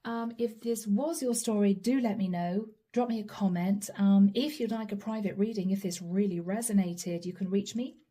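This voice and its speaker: A woman doing a channeled tarot reading straight to the viewer, earnest and apologetic, her voice going hoarse. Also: medium pitch, normal speed, few pauses, dark tone. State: losing her voice. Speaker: tarot reader.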